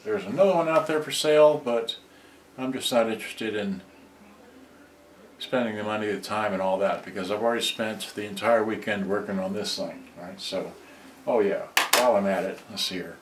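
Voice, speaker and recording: Low-voiced man, distant in an echoey room. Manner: halting, rambling, thinking aloud. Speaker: hobbyist electronics repairer on a livestream. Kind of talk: unscripted casual live demonstration. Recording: distant mic, echoey room, background noise.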